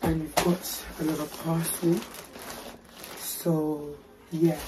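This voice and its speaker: A young woman with a deep, raspy voice, chatting playfully and slangily to camera in a small bedroom. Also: little pitch movement, slow, noisy background. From United Kingdom. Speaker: haul vlogger. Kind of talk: casual chat to camera.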